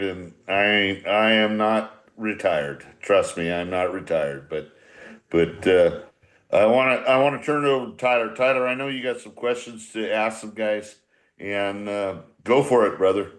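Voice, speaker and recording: An older American man in his sixties with a fairly low voice. He talks in a blunt, rambling way, salted with profanity, like a veteran coach chatting casually with a group. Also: moderate pace. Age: 60s. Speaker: veteran baseball manager hosting a reunion.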